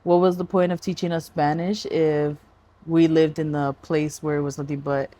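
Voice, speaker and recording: A woman's voice: deep voice, little pitch movement, moderate pace, mellow. A woman co-hosting a podcast in casual, joking chat, mixing some Spanish into her English. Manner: joking, laughing, mixes Spanish into her talk. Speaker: podcast co-host.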